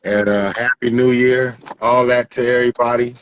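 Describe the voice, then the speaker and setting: A man's voice: medium pitch, flat delivery, moderate pace, highs cut off, slight background noise. A man in his fifties chatting casually as an interview guest, plain-spoken and slangy. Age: 50s. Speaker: music producer, interview guest. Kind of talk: casual chat.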